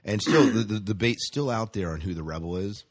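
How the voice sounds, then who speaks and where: Low-voiced man chatting on a podcast. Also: animated, a little fast, no room sound, clean studio sound. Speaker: podcast co-host leading the discussion. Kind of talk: casual TV discussion chat.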